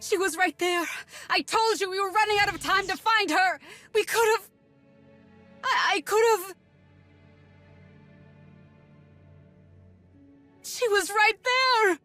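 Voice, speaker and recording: A woman's voice: very high-pitched, a little slow, bright, forceful tone, soft background music, exaggerated voice. An actress playing a distraught, fiery young woman in a video game cutscene, impassioned and accusing. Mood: anguished.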